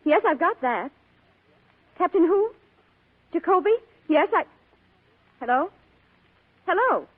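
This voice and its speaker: An actress playing an anxious, excitable detective's secretary in 1940s radio style, on a tinny vintage broadcast. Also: female voice, very high voice, slow, pauses often, full character voice. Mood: alarmed. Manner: excitable, startled, eager. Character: anxious, breathless young female detective's secretary.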